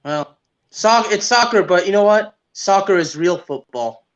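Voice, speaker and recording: A male sports podcast co-host with a fairly high voice. He talks in a casual, dry, opinionated way, and the audio is dull and lo-fi. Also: expressive, unhurried.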